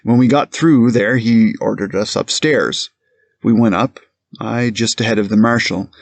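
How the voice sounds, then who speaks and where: A man's voice: on the low side, unhurried, vocal fry, no echo. A man playing a precise, deferential police captain giving hesitant, formal testimony in a courtroom reenactment. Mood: careful, formal. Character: careful, plain-spoken male police captain testifying as a witness. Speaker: witness.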